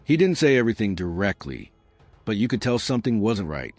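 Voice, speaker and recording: A man's voice: on the low side, sing-song, brisk, a little mellow, dry recording. A man reading a scripted gossip documentary narration as a voice-over. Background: music.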